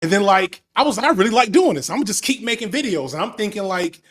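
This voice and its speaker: A man in his thirties, a former teacher, talking over a headset on a video call in a reflective, fast, self-interrupting way. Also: high voice, lively intonation, fairly bright tone, slightly rough. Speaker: guest, video essayist. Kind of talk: casual video call chat. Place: room at home indoors.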